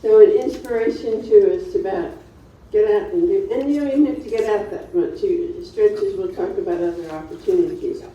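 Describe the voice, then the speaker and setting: A woman's voice: medium pitch, moderate pace, few pauses, mellow. A woman hosting a seminar panel informally, chatty and loosely phrased as she introduces speakers. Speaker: seminar host and panel moderator. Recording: very echoey room, distant dull mic.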